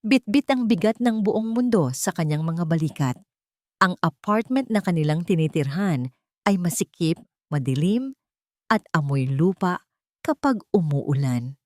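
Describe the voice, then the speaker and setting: A woman with a Filipino accent narrating a scripted story in a measured, dramatic way. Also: lowish voice, animated, a little mellow, no room sound. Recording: dry studio voice over background music. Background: background music.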